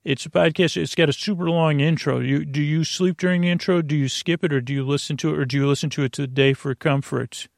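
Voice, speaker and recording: A man's voice: a little high, fairly even intonation, quick pace, gravelly, few pauses, no echo, clean studio sound. A man hosting a bedtime podcast in a meandering, stammering monologue full of whimsical, goofy tangents. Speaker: podcast host.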